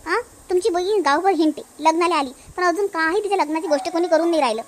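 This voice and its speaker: A woman's voice: very high voice, fast talker, slight background noise. A woman with a Varhadi Marathi accent playing an aggrieved, nagging young village wife who complains and laments in a cartoon comedy. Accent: Varhadi Marathi from Maharashtra. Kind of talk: hobby cartoon comedy dialogue.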